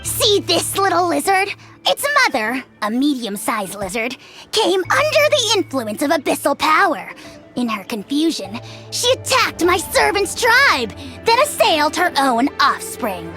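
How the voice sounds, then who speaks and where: A woman's voice: high-pitched, very animated, a little slow, pushed and bright, faint music behind, full character voice. A woman voicing a tiny, haughty non-human creature who calls itself a dragon lord, speaking grandly in the royal we and mocking others, in a video game. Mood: scornful. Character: tiny pompous self-crowned dragon lord, haughty and theatrical.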